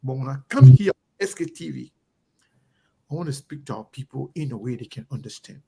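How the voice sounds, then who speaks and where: A man's voice: medium pitch, expressive, fairly quick, warm tone, slightly rough. A middle-aged Liberian man with a Liberian English accent, delivering an emphatic, repetitive talk-show monologue with a preacher-like cadence. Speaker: online talk show host. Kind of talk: impassioned monologue.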